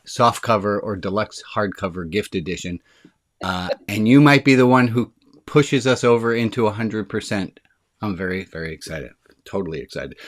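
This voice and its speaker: A man speaking as a rabbi on a video call, leading an online group in a casual, chatty way with a deep, resonant voice. He talks eagerly and quickly, and he says his excitement speeds him up.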